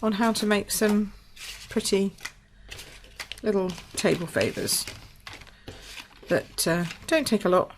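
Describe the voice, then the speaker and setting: A woman from the UK, slightly croaky, demonstrating a papercraft project at her table in a chatty, think-aloud way. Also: mid-range voice, slow pace, pauses often, slight background noise. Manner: chatty, thinks aloud with fillers and small apologies. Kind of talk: casual craft tutorial. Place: indoors at a craft table.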